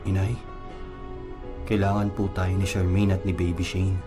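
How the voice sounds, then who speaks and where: A man's voice: low voice, little pitch movement, moderate pace, music in the background, natural voice. A man playing a respectful, worried young son with a Filipino accent in a radio drama. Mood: hesitant, confessing.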